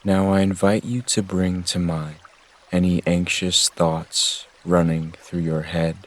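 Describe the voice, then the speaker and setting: Slow, low-voiced man guiding meditation. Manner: gentle, measured, soothing instruction. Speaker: meditation guide. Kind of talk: guided meditation narration.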